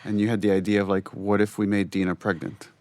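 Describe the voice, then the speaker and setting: A man's voice: fairly low pitch, level tone, quick pace, darkish tone. A man giving casual, reflective commentary as a game director, thinking aloud as he talks.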